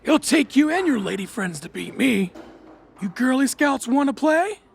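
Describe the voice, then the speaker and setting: A man playing a cocky, foul-mouthed, taunting bully in an audiobook, sneering and jeering in a raspy voice. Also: very high voice, very animated, moderate pace, slight background noise, exaggerated voice. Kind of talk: audiobook character acting.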